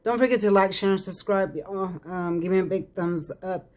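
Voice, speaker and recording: A raspy-voiced woman, chatting casually to viewers as a tarot reader, congested from a head cold. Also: mid-range voice, moderate pace, darkish tone. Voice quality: raspy, crackly voice. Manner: chatty, addresses viewers as y'all. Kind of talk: casual psychic reading vlog.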